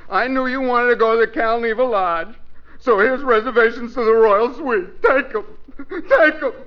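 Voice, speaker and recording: A man's voice: extremely high pitch, normal speed. A man acting a clinging, melodramatic best friend in a 1950s radio sitcom. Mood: noble, generous. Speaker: comic sidekick actor. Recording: muffled 1950s radio broadcast, faint noise.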